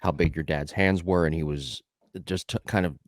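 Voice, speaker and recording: A low-voiced man hosts a podcast in a chatty, upbeat way, often wandering off topic. His voice is recorded close and dry, with no room sound. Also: steady tone, normal speed, no room sound. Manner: upbeat, talkative, tangent-prone. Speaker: podcast host. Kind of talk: casual podcast chat.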